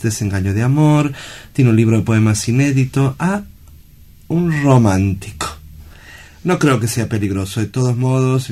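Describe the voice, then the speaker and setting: An actor playing a calm, philosophical sanatorium doctor in a theatrical radio drama, speaking in a measured, clinical and pompous way. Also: male voice, medium pitch, close to an everyday voice.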